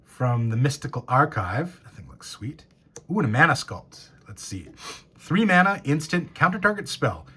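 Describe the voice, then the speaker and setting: Shop-owner host, 30s, male, hoarse and husky after a cold, lively pitch, excited casual unboxing patter. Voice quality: raspy. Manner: enthusiastic, reads card names and rules aloud. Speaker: game store owner. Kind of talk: casual box-opening commentary.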